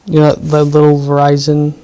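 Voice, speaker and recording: A man's voice: a little high, monotone, normal speed, mellow, clean tone, rough recording. A man chatting casually while he sound-checks his streaming gear, thinking aloud and often answering "right".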